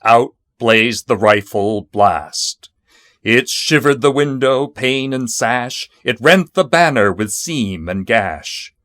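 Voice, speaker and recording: Man reading a poem out loud, solemn, super slow, dry close recording. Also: medium pitch, expressive, dry recording. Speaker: poetry reader. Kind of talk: dramatic poetry recitation.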